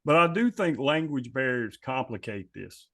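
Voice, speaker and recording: A man speaking as a podcast host in a conversational, deliberate way, repeating phrases for emphasis. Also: mid-range voice, lively intonation, pushed and bright.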